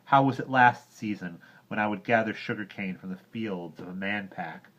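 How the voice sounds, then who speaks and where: A man's voice: mid-range voice, steady tone, moderate pace, a little hiss or hum, voice pushed up, natural voice. A man voicing a proud, hot-tempered young jungle boy, speaking raised and indignant. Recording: dull lo-fi recording.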